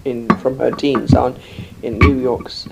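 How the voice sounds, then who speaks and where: Slow, gravelly older woman. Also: a bass voice, level tone, heavy background noise. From England. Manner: unhurried, rambling, with many pauses and fillers. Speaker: interviewee. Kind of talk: oral history recollection.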